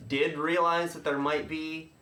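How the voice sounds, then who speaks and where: Bright-voiced man rambling in echoey room. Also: fairly high pitch, little pitch movement. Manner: rambling, halting, self-interrupting explainer. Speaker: podcast host. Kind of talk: casual chat.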